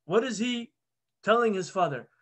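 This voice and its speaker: High-voiced man telling a religious story. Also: moderate pace. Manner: earnest, animated retelling with restarts. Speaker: halaqa teacher. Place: plain indoor room. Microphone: webcam video call.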